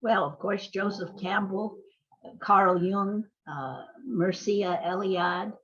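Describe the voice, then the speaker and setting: An older woman with an American accent talks reflectively as a guest in an interview. She is measured and articulate, with a medium-pitched voice. The recording is somewhat dull and lo-fi. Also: fairly even intonation, husky. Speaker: guest, playwright. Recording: dull, lo-fi sound.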